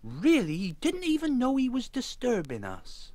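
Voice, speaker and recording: A man's voice: very high-pitched, huge pitch swings, normal speed, warm tone, dry recording, voice pushed up, heavily acted. A man voicing a fussy, exasperated female strawberry character in a children's storybook, with an indignant manner. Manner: indignant, tutting.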